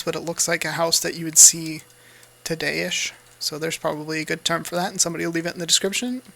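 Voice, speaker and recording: A man's voice: on the high side, creaky, bright, forceful tone. A man giving casual, rambling gameplay commentary while losing his voice, correcting himself as he goes. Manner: rambling, self-correcting, light asides. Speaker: gaming channel host.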